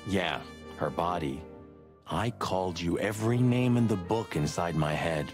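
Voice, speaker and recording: An actor playing a talkative, sardonic rooster cop sidekick in a film-noir adventure game. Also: male voice, deep voice, lively intonation, measured pace, over music. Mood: confessional.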